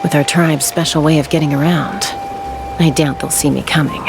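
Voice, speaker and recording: Low-voiced, self-assured young woman warrior. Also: steady tone, moderate pace, vocal fry, noisy background. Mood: determined. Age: young adult. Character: cool, self-assured young tribeswoman warrior, protective and tactical. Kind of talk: video game voice acting. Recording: music under the voice.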